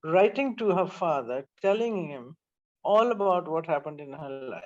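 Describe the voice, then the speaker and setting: A woman in her seventies, an author reflecting on her book in an interview, telling her story with pauses and restarts. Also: sits low, animated, darkish tone, dull audio. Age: 70s.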